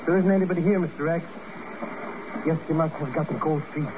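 An actor playing an excitable, chatty, nervous sidekick to a secret agent in a comic, complaining 1950s radio drama style. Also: male voice, a little high, brisk, lightly acted. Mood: uneasy. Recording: muffled, noisy old radio recording.

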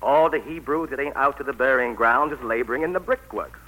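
An actor playing a servile, sly court magician in a folksy dialect, in 1940s radio style. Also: male voice, mid-range voice, a little fast, sharp tone, rough recording. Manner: folksy Southern dialect, deferential. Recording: 1940s radio broadcast recording.

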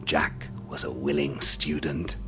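An actor playing a cold, sadistic man who speaks to a bound captive in a soft, measured, menacing way in a radio play. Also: a bass voice, flat delivery, gravelly, over music, full character voice. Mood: gloating recollection.

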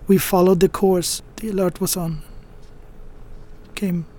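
An actor playing an earnest, haunted first mate in a radio drama. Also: male voice, sits high, level tone, mellow, slight background noise. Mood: shaken, grieving. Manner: halting, broken phrases when recounting.

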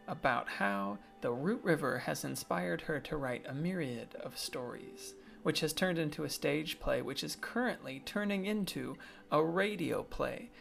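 American man hosting, slightly creaky voice. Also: a little high, fairly bright tone. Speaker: podcast host. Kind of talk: scripted intro and casual interview.

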